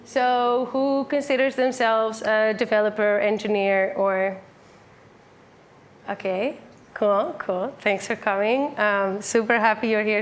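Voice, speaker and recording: Woman, twenties, raised in the Netherlands; upbeat casual presenter, bright higher register, live room. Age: 20s. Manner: upbeat, chatty, many fillers like "like" and "um". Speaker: meetup speaker. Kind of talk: casual conference talk. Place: full room with a live audience.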